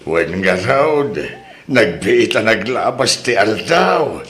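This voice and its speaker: A man's voice: on the low side, sing-song, takes it slowly, fairly bright tone, full character voice. A man playing a genial, grateful older father in an Ilocano radio drama, speaking with an Ilocano accent.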